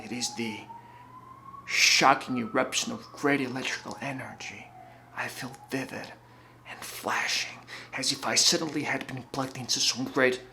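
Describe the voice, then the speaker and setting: A man playing a breathy, feverish visionary. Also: mid-range voice, bright, forceful tone, slight background noise. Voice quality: breathy, often near whisper. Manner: halting, intense, grandiose. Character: feverish, exalted visionary man gripped by mystical revelation. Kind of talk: dramatic film monologue.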